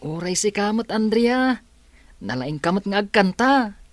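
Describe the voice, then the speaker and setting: A woman's voice: mid-range voice, lively intonation, a little slow, no echo, lightly acted. A woman with a Filipino accent playing a cheerful, grateful schoolboy in a radio drama. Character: cheerful, grateful schoolboy who likes to chat. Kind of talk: Ilocano radio drama acting.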